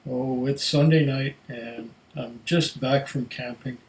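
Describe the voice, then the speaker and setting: A man's voice: mid-range voice, steady tone, takes it slowly, darkish tone, faint music behind, poor audio. A man with a congested voice talks casually to his camera at home. He sounds tired and rambles with fillers between his thoughts. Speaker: whisky reviewer. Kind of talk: casual vlog chat.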